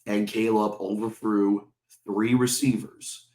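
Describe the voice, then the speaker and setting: A man's voice: lowish voice, little pitch movement, slow, a little mellow, echoey room, poor audio. A man with a sore throat hosting his own sports channel, ranting heatedly as a fan and swearing often, firing off repeated rhetorical questions. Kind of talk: ranting fan commentary to camera.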